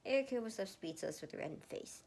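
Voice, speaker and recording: A woman reading fan fiction aloud in an expressive amateur way, sometimes stumbling and re-reading words. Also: medium pitch, quick pace, gravelly, a little hiss or hum. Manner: animated, stumbles and re-reads words.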